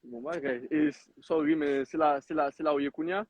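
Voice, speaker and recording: A man's voice: a little high, little pitch movement, fairly quick, dull audio, a little hiss or hum. A man in his twenties, a guest on a live interview, chatting casually and rambling with many restarts. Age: 20s. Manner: rambling, switches between Creole and French with many restarts. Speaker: guest, agriculture founder.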